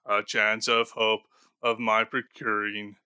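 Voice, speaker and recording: A man voicing a doomed, grieving male ghost bound in chains, speaking slowly and gravely for an audiobook. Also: lowish voice, level tone, bright, forceful tone, dry recording, full character voice. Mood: mournful. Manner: slow, solemn and grave. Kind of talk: audiobook character voice.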